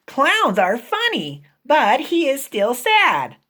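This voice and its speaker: A woman voicing an eager, caring young female pig from a children's picture book, with expressive, drawn-out delivery. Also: sits high, pitched above the performer's own voice, heavily acted. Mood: earnest, caring.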